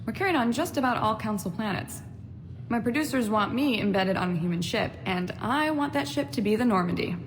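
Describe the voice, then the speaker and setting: A confident military news reporter from a video game, acted by a woman. She is businesslike and persuasive, in a medium-pitched voice. The sound has hall reverb and faint background ambience. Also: animated, a little hiss or hum, natural voice. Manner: brisk, persuasive, self-assured. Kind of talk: dramatic video game dialogue.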